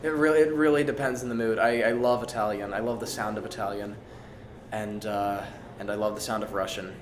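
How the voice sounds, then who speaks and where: A man's voice: on the low side, fairly even intonation, fairly quick. Male, casual Q&A participant, jokey and a little hesitant, recorded in an echoey room with faint noise. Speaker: actor answering fan questions. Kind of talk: casual group Q&A chat. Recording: roomy echo, faint background noise.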